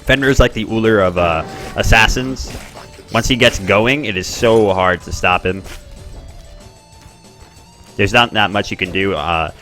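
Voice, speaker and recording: Chatty male game commentator, bright voice. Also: measured pace, long pauses, soft background music. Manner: chatty, excitable, bursts up in pitch at plays. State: expects to lose his voice. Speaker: tournament host and commentator.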